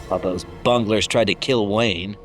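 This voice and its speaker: A man's voice: fairly low pitch, steady tone, moderate pace, soft background music, natural voice. Smug, arrogant executive villain played by a man. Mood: arrogant, curt. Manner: suave, haughty. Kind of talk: audio drama acting.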